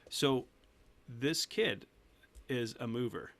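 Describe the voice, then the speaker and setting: Guest coach, man in his 30s, gravelly voice, earnest and chatty, laughs a lot. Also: medium pitch, takes it slowly, fairly bright tone. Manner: earnest, laughs often, restarts sentences. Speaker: weight loss coach, guest. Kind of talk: casual interview talk.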